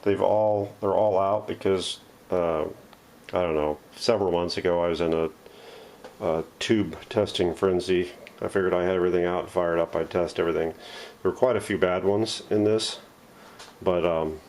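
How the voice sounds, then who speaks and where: A man's voice: low-pitched, fairly even intonation, normal speed, a little mellow, a little hiss or hum. A man hosting a casual, unscripted workshop show-and-tell in a folksy, self-deprecating way, recorded indoors at home on a camera mic. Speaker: host of a vintage radio restoration channel.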